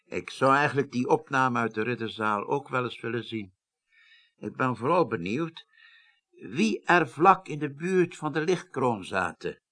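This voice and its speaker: Articulate official with swooping intonation. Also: male voice, mid-range voice, expressive, a little slow, pushed and bright. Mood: curious, affable. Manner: articulate, formal. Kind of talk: Dutch radio drama acting.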